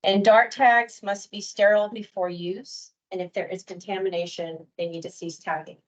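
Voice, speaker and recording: A woman giving a measured, detailed presentation on permit rules at a workshop. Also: mid-range voice, fairly even intonation, muffled recording. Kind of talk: prepared presentation.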